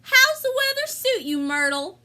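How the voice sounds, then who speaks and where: A woman's voice: very high voice, very animated, a little slow, sharp tone, heavily acted. A woman with an American accent playing a chatty, folksy rural farm wife in an amateur play. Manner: folksy, exclamatory country talk. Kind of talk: amateur stage-play acting.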